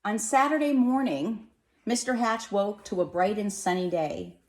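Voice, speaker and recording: A woman reading a children's storybook aloud with expressive narration, recorded in an echoey room. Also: medium pitch, measured pace. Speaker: storybook reader.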